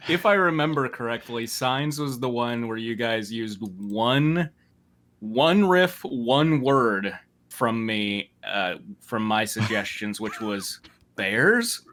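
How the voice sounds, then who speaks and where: A man's voice: mid-range voice, slow pace, a bit bright. A man reading a story aloud in a comedic way, breaking off to banter and comment on the wording.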